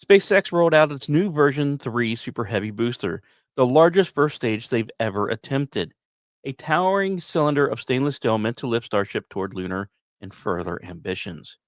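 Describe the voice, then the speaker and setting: A man narrates like a podcast storyteller, his mid-pitched voice swinging widely up and down. He leans on key words and leaves dramatic pauses, mixing scripted lines with casual asides. The sound is close and very dry, with no room around it. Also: animated, no echo. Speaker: podcast host.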